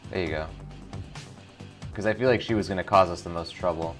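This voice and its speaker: Low-voiced man hosting a game playthrough. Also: a little fast. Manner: enthusiastic, informal. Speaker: gaming show host. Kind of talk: casual game playthrough commentary with read-aloud text. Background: faint music.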